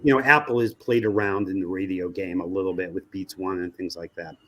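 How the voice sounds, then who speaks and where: Male interview guest, American, research executive type, analytical talk in a lowish register. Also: fairly even intonation, fairly quick, fairly bright tone. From United States. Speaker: interview guest, radio research company president.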